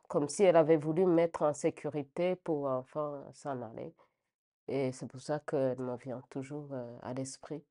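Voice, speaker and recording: Woman, middle-aged, deep mellow register, hesitant reflective delivery, unscripted personal testimony. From Rwanda. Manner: hesitant, halting, reflective phrasing with repeated words. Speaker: interviewee giving a personal testimony.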